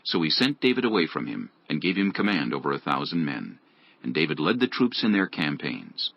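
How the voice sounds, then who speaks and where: A man narrating scripture dramatically from a script, in a gravelly, husky voice. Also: a bass voice, big pitch swings, a little fast, muffled recording.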